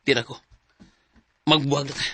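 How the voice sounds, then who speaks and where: Sharp, husky, fed-up young Cebuano boyfriend. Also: male voice, medium pitch, fairly even intonation, normal speed. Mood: fed up, firm. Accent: Cebuano Filipino. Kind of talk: radio drama acting in Cebuano.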